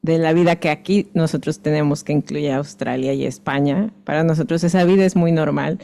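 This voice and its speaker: A woman in the audience asking a halting question, slipping in an English word. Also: low voice, gravelly, mellow. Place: library event room.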